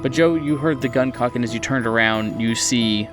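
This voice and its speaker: A man running a tabletop horror game as game master, setting scenes in the second person with deliberate phrasing. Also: medium pitch. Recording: music behind the voice.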